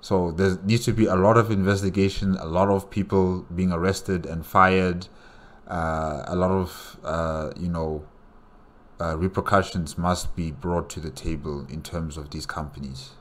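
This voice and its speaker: Low-voiced man giving casual commentary. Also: steady tone, measured pace. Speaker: reaction video host.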